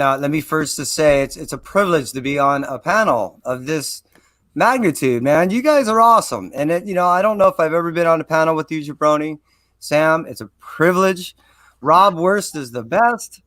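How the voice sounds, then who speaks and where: A man guesting on a comic book live stream, gushing with compliments for the others. His voice sits a bit high, and the sound is dry and close. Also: moderate pace, fairly bright tone, dry recording. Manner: effusive, complimentary. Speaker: panel guest. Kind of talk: casual live stream chat.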